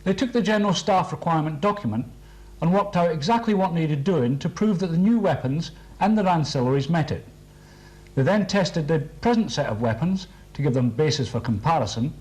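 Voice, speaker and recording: A British man with a somewhat high-set voice presents a military training film. His delivery is a formal scripted briefing, precise and matter-of-fact throughout. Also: animated, fairly quick, darkish tone, low-quality recording.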